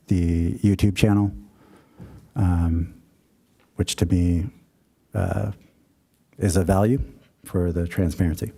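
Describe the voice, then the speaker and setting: Deep, dark-voiced committee chair. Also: male voice. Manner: measured, hesitant, with frequent ums. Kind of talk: chairing a public meeting. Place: city meeting room. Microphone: table microphone.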